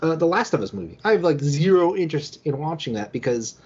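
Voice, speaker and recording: A man's voice: on the high side, lively intonation, quick pace, darkish tone, husky. A man in a casual podcast discussion, rambling as a panelist, on dull lo-fi audio. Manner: rambling, peppered with "like".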